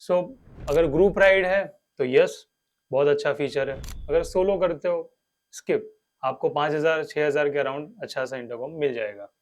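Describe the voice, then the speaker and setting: An Indian man speaks in a fairly high-pitched voice as the host of a product review. His delivery is scripted and explanatory. He slips English phrases into his talk. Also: normal speed, poor audio. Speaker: host of a motorcycle gear review channel.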